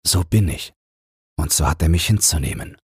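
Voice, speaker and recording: An earnest, self-justifying young fiancé in a literary audiobook, acted by a male narrator. The voice is low and very husky, stubborn in manner, in a dry recording. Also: animated, normal speed, no room sound, heavily acted. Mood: resolute.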